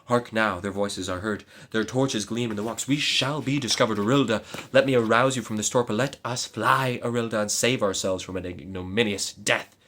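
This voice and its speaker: A man voicing a scheming knight in a gothic tale, speaking in stern, measured declamations. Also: lowish voice, fairly bright tone, lightly acted. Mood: urgent. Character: scheming male knight, smooth and commanding. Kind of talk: dramatic gothic reading.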